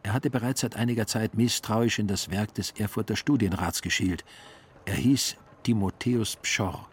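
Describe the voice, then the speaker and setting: A man narrating a literary radio play in a husky voice. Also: lowish voice, moderate pace.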